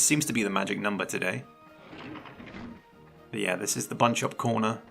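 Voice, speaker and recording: A man commentating a video game match live, chatty and analytical, his voice a little croaky. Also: medium pitch, a bit bright. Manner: chatty and analytical, laughs often. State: slightly croaky voice. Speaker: esports commentator.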